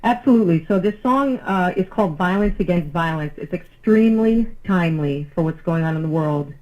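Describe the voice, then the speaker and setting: A woman's voice: on the low side, a little fast, muffled recording. A woman, lead singer of a band, talking as a guest in a casual radio interview, upbeat and chatty with many fillers.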